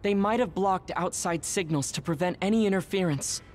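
An actor playing a thoughtful, analytical young male comrade in an anime game, speaking in a measured way. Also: high voice, slight background noise, close to an everyday voice. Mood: measured, serious. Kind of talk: English-dubbed anime game acting. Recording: voice over loud music score.

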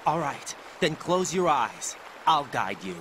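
An actor playing an earnest young soldier in a video game, speaking in an urgent yet reassuring manner. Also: male voice, a little high, very animated, takes it slowly, background noise. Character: earnest young male soldier on a rescue mission. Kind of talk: dramatic game cutscene acting.

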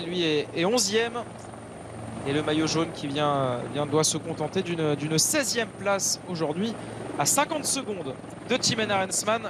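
A man giving live cycling race commentary in halting phrases, often repeating words. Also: high-pitched. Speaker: sports commentator. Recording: clear helicopter and vehicle noise.

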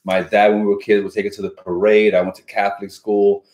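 A man's voice: fairly low pitch, little pitch movement, darkish tone. A middle-aged man from New York City, hosting a live home cooking stream with his wife, talking enthusiastically and chattily, picked up by a distant camera mic. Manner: enthusiastic, chatty, drawn-out asides. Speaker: cooking show host. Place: home dining room set up as a studio. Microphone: far camera mic. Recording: echoey room with kitchen clatter.

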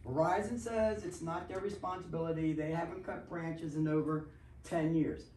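A woman's voice: low voice, fairly even intonation, normal speed, warm tone, a little hiss or hum. A woman resident making a detailed, insistent complaint during public comment at a council meeting. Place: township council meeting room. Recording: echoey meeting room, far mic.

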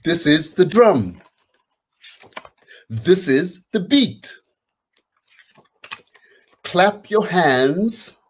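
A man's voice: high voice, big pitch swings, extremely slow, pauses often, slight background noise. A middle-aged man reading picture books aloud to children, chatting casually and encouraging them to join in. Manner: encouraging, inviting listeners to join in. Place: indoors, small home room.

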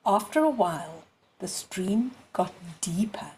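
A woman in her sixties reading aloud expressively for young children, phrase by deliberate phrase. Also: medium pitch, animated, mellow, slight background noise, poor audio. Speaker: storyteller.

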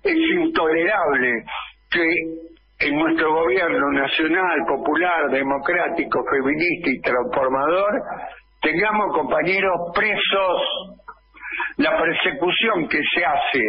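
A man in his sixties with an Argentine accent, delivering an emphatic political editorial in a small radio studio with headphones and a boom mic. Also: a little hiss or hum. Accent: Argentine Spanish. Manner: slow, emphatic, insistent, with frequent "eh" fillers. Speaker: radio commentator. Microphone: studio mic on boom arm, wearing headphones. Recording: dull lo-fi radio sound.

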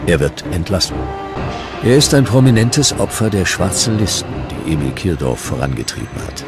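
A man with a very low voice narrates a historical documentary. His delivery is scripted narration. Music plays clearly behind him. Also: animated, normal speed.

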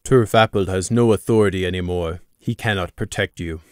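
A man's voice: a little fast, dry recording, studio quality, close to an everyday voice. A man voicing Crimson, a cold male pegasus guard speaking stonily. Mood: stony. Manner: low, stony, flat.

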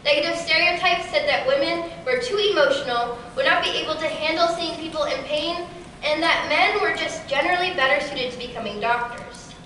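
A teenage girl giving a prepared keynote talk in a large auditorium, with a steady, rehearsed delivery. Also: high-pitched, a little fast, a little hiss or hum. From Kansas City area. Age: teens. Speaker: keynote speaker. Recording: echoey hall from far off.

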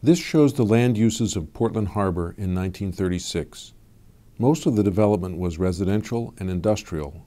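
A man giving measured, scripted voice-over narration for an explanatory video. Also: deep voice. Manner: measured, even informational delivery.

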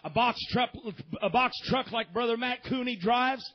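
A man preaching a sermon as pastor, swinging between shouted, fervent climaxes and low, quiet stretches, with frequent "amen". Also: normal speed, lo-fi sound.

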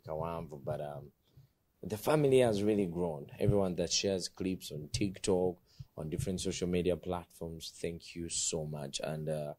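Deep-voiced Ugandan talk show host. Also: male voice, warm tone, no room sound. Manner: upbeat, switches between English and Luganda. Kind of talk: TV studio interview. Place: indoor TV studio set. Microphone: handheld mic.

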